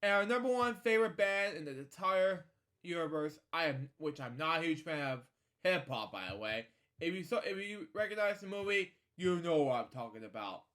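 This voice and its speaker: A man's voice: fairly high pitch, expressive, moderate pace, a bit bright. A man hosting a casual read-along of a children's storybook, drifting into opinionated asides to his listeners. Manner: digressive, opinionated commentary addressed to listeners. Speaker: podcast host.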